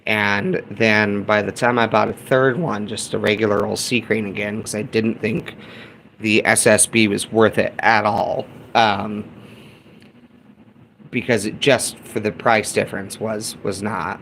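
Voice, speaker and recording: A man's voice: fairly low pitch, level tone, measured pace, fairly bright tone. A man casually demonstrating gear as a hobbyist host, talking to viewers like buddies. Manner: informal, buddy-like address to viewers. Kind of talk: casual hands-on gear demo. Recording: clear background noise.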